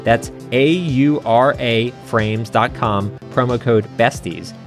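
A man's voice: mid-range voice, takes it slowly, soft background music. A man hosting a podcast in a casual, conversational way. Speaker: podcast host. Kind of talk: casual podcast chat and ad read.

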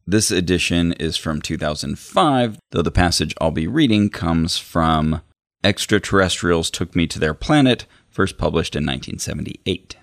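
Low-pitched, gravelly man hosting a podcast. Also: lively intonation, normal speed, dry recording, clean studio sound. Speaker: podcast co-host. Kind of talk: hosting chat and dramatic reading aloud of book passages.

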